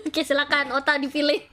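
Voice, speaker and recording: High-voiced woman streamer from Indonesia. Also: lively intonation, normal speed, fairly bright tone, a little hiss or hum. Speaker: streamer hosting a live fandub.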